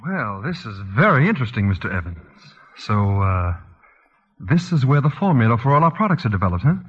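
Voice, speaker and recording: An actor playing a smooth, scheming husband in a 1940s radio drama, casually probing and insinuating. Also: male voice, medium pitch, big pitch swings, fairly quick. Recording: muffled vintage radio broadcast.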